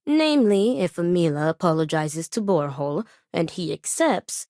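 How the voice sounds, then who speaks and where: A woman's voice: on the low side, expressive, normal speed, dark tone, no echo, studio quality, lightly acted. A woman playing a stern, dutiful overseer of a family duel in a video game, speaking in formal, precise phrases. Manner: formal, precise, clipped phrasing without contractions. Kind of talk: scripted video game character dialogue.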